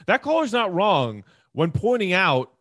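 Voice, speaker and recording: A man with a slightly high voice hosts conversational sports talk. He lays out his argument point by point and leans on key phrases, in a very dry recording. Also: very animated, a little slow, fairly bright tone, dry recording. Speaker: sports podcast host.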